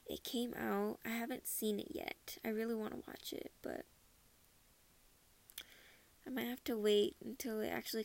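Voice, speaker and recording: A woman's voice: a little high, gravelly, darkish tone. A teenage girl from Minnesota chatting casually about her month, rambling and laughing often. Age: teens. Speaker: podcast host. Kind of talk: casual personal chat.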